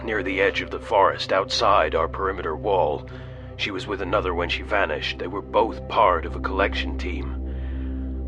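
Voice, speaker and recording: An actor playing a grave, resolute male colony governor recording a log in a sci-fi audio drama, measured and authoritative. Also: deep voice, close to an everyday voice. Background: music.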